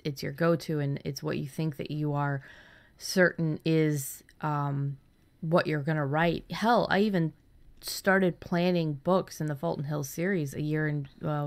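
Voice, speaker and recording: A woman hosting a casual writing podcast, talking candidly and enthusiastically, with some casual swearing. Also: low voice. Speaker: podcast host and fiction writer.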